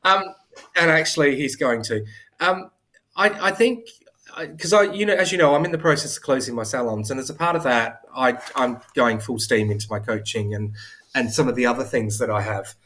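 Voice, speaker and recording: A man's voice: mid-range voice, brisk, a bit bright. A man chatting on a video call from home, talkative and self-deprecating, often restarting his sentences. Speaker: hair coach and salon owner. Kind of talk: casual live interview chat.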